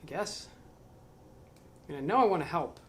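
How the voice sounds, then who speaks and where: A man reading a spiritual book aloud to camera. He adds slow, musing commentary, questions himself, and trails off into mumbles. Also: a little high, expressive, fairly bright tone, slight background noise.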